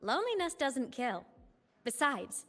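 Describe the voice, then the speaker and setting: A woman voicing a young ghost girl in a video game, a former student who speaks gently, with a wistful and teasing manner. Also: sits high, very animated, a little slow, full character voice.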